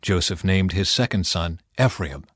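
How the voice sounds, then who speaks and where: A man reading scripture as an audiobook narrator, in a measured, expressive way with clear phrasing. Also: sits low, no echo, clean studio sound.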